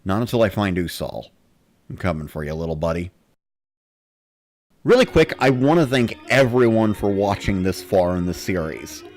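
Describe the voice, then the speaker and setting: A man narrating in the first person with an expressive, dramatic delivery. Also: low-pitched, moderate pace. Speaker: narrator of a dramatic reading series.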